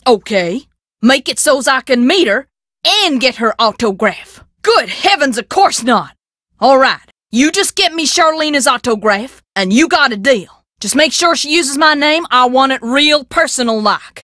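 A woman's voice: high voice, animated, unhurried, bright, forceful tone, no room sound, clean studio sound, full character voice. A woman voicing a sassy, bossy frontier shopkeeper with a drawling American country twang in a video game. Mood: eager, bossy. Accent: rustic American country twang. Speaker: keeper of an old-time museum and taffy shop. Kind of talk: video game character dialogue.

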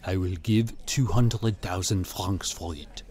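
A man's voice: deep voice, takes it slowly, a little mellow, voice pushed down. A man voicing a plump, haughty art gallery owner who speaks with cold, imperious politeness. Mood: calm, deadly serious. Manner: clipped, coldly polite, imperious.

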